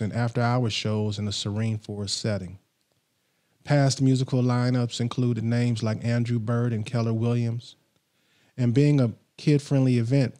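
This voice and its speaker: A middle-aged man hosting a podcast from a small home studio, reading a countdown list in a steady, scripted way into a studio microphone. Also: medium pitch. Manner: steady, read-from-script delivery. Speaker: podcast host. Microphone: studio mic on boom arm, headphones on.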